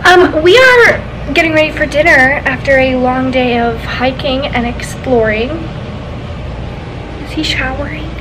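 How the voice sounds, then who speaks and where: A woman's voice: high-pitched, measured pace, background noise. A young woman in her twenties casually vlogging a road trip, chatty and full of "like" fillers, filming at arm's length. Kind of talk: casual travel vlog. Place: outdoors and in a car on a road trip. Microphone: handheld camera at arm's length.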